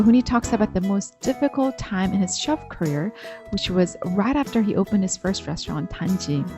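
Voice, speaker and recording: A woman hosting a podcast, reading an earnest intro and then interviewing a guest. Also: medium pitch. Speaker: podcast host.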